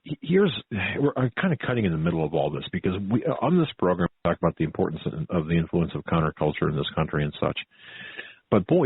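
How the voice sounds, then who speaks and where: Low husky male host on tinny audio. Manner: halting, repetitive, folksy emphatic delivery. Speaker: talk show host. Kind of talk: conversational radio interview. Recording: phone-like tinny band, roomy echo.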